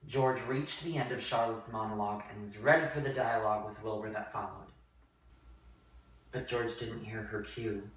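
A woman's voice: very deep voice, fairly even intonation, moderate pace. A young adult woman reading scripted story narration as the narrator. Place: bare indoor room. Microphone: camera mic a few feet away. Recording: echoey room, distant mic, faint noise.

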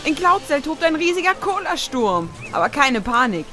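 A woman's voice: high voice, sing-song, moderate pace. A woman playing a brash, agitated young female pony in an audio drama, exclaiming urgently. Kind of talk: fan audio drama acting. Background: music.

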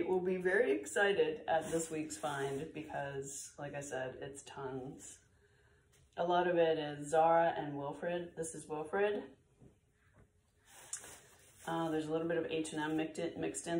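A woman's voice: sits low, slight background noise. A middle-aged or older woman chatting casually to camera with lots of fillers, slightly congested from a cold, recorded indoors by a camera a few feet away. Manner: relaxed, chatty, with frequent fillers. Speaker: vlogger sorting found clothes. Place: bright room with bare walls, indoors. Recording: roomy, slightly distant camera audio.

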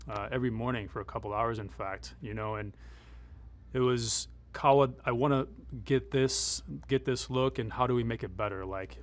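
A middle-aged man speaking as a military advisor in an interview, explaining things in a conversational, measured, matter-of-fact way. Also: mid-range voice. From United States, born in Afghanistan. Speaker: military advisor and former Green Beret.

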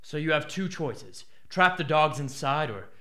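A man's voice: a little high, lively intonation, unhurried, a bit bright. An actor playing an embittered, intense young male rebel in a radio drama. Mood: persuasive.

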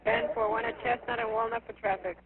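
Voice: mid-range voice, little pitch movement, fast. A fire dispatcher talking procedurally over a two-way radio, clipped and repeating messages back. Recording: tinny, noisy fire dispatch radio recording.

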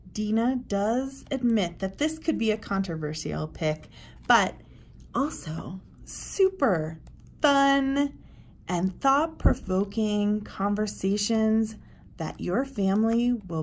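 Female presenter, 30s to 40s, unhurried and deliberate delivery, medium pitch, emphatic phrasing. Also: darkish tone. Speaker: presenter for a homeschool curriculum blog. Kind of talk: book recommendation walk-through to camera.

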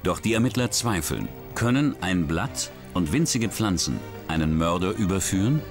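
A man's voice: deep voice, lively intonation, moderate pace. A man reading the scripted narration of a true crime documentary in a dramatic, suspenseful way. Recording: voice-over with music bed.

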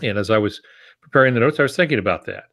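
A man's voice: on the low side, expressive, racing, creaky, a bit bright. An American man in his sixties teaching informally with asides, talking on a video call from a home office. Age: 60s. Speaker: writing teacher, podcast co-host. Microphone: wired earbuds on a video call.

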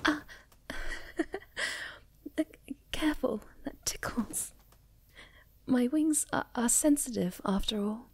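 A young woman playing a sweet, ditzy, shy moth girl in an ASMR roleplay, speaking softly and breathily with gentle stammers, often near a whisper. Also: on the high side, slow, long pauses, husky, full character voice. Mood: flustered, shy. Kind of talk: soft-spoken ASMR roleplay.

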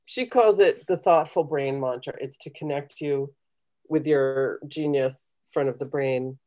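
A middle-aged woman with a low, mellow voice talks on a video call. She coaches conversationally and gives encouraging, step-by-step meditation instructions. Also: a little slow. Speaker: guest leading a guided meditation. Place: small home room, indoors. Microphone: computer video call.

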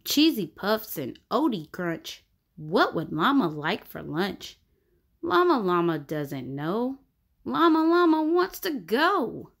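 A woman reading a rhyming picture book aloud for children in an expressive, sing-song way. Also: medium pitch, takes it slowly. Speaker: children's story reader. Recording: music bed under the voice. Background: background music.

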